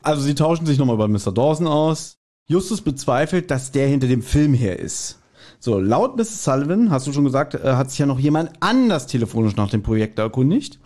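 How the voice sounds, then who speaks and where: A man co-hosts a podcast in a casual, chatty way. He has a mid-pitched voice and retells a story conversationally, dropping in little acted quotes as he goes. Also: big pitch swings, brisk, a little mellow. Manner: chatty, acts out short quotes. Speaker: podcast co-host. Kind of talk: casual podcast chat retelling an audio drama episode.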